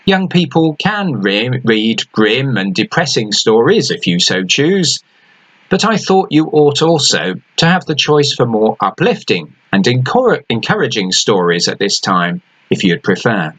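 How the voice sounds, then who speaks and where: Author, male, animated storyteller; bright, forceful tone. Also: medium pitch, a little slow, slightly rough, rough recording. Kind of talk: introducing his book and reading aloud.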